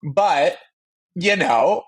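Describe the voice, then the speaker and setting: A man's voice: a little high, expressive, extremely slow, breathy edge. A man co-hosting a podcast in casual chat, with a jokey, emphatic, campy manner. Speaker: podcast co-host.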